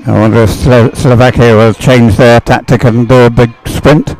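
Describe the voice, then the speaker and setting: A man's voice: mid-range voice, fairly even intonation, normal speed, a little mellow, rough recording. A man giving live sports commentary on a race, observing and thinking aloud with hesitant phrasing.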